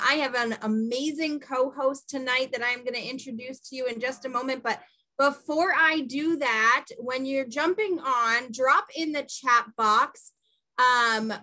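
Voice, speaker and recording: Peppy, bright-voiced New England woman. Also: sits high. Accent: Boston-area New England. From New Hampshire, US. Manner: peppy, enthusiastic, encouraging. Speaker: host and coach. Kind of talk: upbeat training webinar. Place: small room indoors. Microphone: webcam on a video call.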